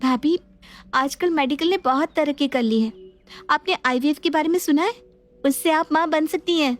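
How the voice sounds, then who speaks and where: Patient young wife played by a woman, earnest explainer, high-pitched. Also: normal speed, close to an everyday voice. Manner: earnest, persuasive. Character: young newlywed daughter-in-law, patient and modern-minded. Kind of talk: Hindi family drama acting.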